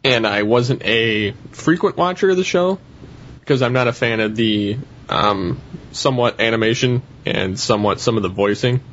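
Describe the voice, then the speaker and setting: A man speaking haltingly as a panelist in a casual podcast discussion. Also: medium pitch, a bit bright, muffled recording, slight background noise.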